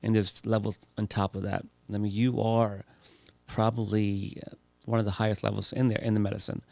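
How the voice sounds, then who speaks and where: Host: man, lowish gravelly voice, dry close mic, teasing conversational prompts. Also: level tone, a little fast, warm tone, dry recording. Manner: playful, leading questions. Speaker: interview host. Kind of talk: casual interview chat.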